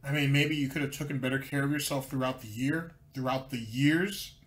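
A man's voice: mid-range voice, fairly even intonation, a little fast, a bit bright. Dry sarcastic male commentator. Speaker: commentary host.

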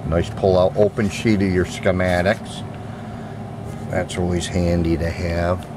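A man's voice: low-pitched, level tone, unhurried, background noise. A man giving a casual, unscripted, plain-spoken product demonstration as a seller, recorded indoors on a handheld camera's microphone. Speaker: seller demonstrating used audio gear. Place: indoor room at home. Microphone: handheld camera mic, speaker off screen. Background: music playing from a record.

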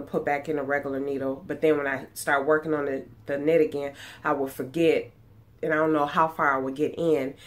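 Low-voiced woman with a stuffy cold. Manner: chatty, rambling, many ums and restarts. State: congested from a cold, nasal. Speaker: sewing vlogger. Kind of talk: casual chat. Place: small room at home, indoors. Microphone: webcam at desk distance.

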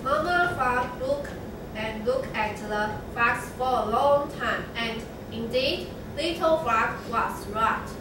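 A young woman narrating a children's story in a slow, measured readers' theatre reading. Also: on the high side, background noise. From Taiwan. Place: large classroom. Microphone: far camera. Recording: very echoey classroom from far off.

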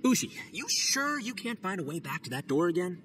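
A man voicing a boisterous young oni gang leader in a game, loud and swaggering. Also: sits high, very animated, fairly quick, slight background noise. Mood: joking, caring. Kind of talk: game cutscene voice acting.

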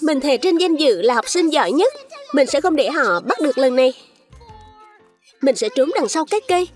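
A woman's voice: high-pitched, lively intonation, moderate pace, faint music behind. A woman voicing a vain, stubborn little strawberry pupil in a children's cartoon dub, emphatic and self-important. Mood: determined, anxious.

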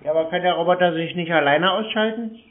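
A man's voice: high-pitched, fast. A man on a phone call, needling the other side with dry, mocking, obtuse questions. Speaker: prank scam-baiting call recipient. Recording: tinny narrow-band phone line.

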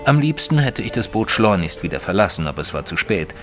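A man playing a reserved Victorian gentleman, a detective's companion, narrating an audio drama in a measured, formal way. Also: lowish voice, very animated, music in the background. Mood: measured, descriptive.

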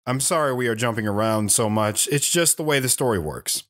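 A man's voice: mid-range voice, fairly quick, a bit bright, no echo, clean studio sound, natural voice. A man playing a subdued military veteran, an understated guest telling his life story in an audio drama. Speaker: talk show guest.

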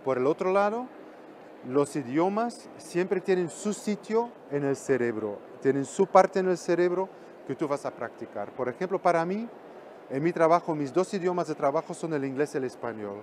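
A middle-aged man from Belgium speaks, his voice sitting a little high for a man. He gives an animated conference talk and hammers home points by repeating them. A faint hum of noise sits under the recording, picked up by a camera at a distance. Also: normal speed. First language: Flemish. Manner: animated, gestures constantly with both hands, repeats points for emphasis. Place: exhibition stand in a hall.

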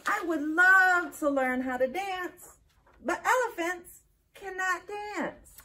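A woman's voice: very high voice, bright, forceful tone, heavily acted. A woman voicing an earnest, easily frustrated elephant in a theatrical children's storybook reading. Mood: hesitant, earnest. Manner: drawn-out, theatrical storybook delivery. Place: indoor library meeting room. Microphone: camera several feet away.

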